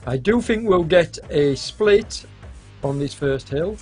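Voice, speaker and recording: A man with a cold commentating a live esports cycling race, hesitant and full of fillers, with a hoarse voice and occasional coughs. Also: a little high, animated, a little mellow, soft background music. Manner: hesitant, many fillers, unhurried.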